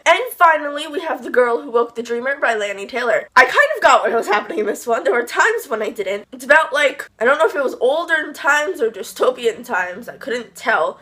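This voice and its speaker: Chatty young woman with a high voice. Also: fairly bright tone. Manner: chatty, gushing and rambling, with frequent "like" and exasperated asides. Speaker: book reviewer. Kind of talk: casual book review vlog.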